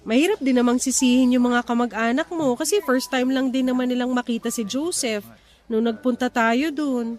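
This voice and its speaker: A woman's voice: fairly high pitch, steady tone, normal speed, darkish tone, natural voice. A woman with a Filipino accent playing a stubborn, protective young wife and mother in a radio-style drama. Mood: weary, worried. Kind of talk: radio-style romance drama acting in dialogue. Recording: music under the voice.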